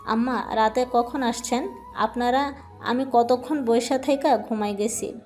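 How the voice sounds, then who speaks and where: Devoted, chatty maid, played by a woman, fairly high voice, colloquial Bangladeshi speech, audio drama. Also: steady tone, unhurried, darkish tone, faint music behind, close to an everyday voice. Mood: chatty, pleased. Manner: chatty, homely, deferential. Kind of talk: Bengali romantic audio drama acting.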